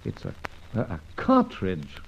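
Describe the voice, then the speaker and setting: An actor playing a shrewd, well-spoken gentleman adventurer in an old-time radio serial, clipped and giving orders with old-fashioned slang. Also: low voice, wildly swooping pitch, unhurried, vocal fry, full character voice. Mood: alert, intrigued. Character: shrewd, commanding gentleman adventurer, brisk male leader. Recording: muffled, noisy old radio recording.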